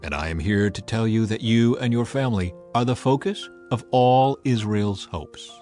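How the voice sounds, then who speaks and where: A man's voice: on the low side, normal speed, mellow, faint music behind, close to an everyday voice. A man playing a commanding old prophet and seer in a dramatized scripture reading. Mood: assured.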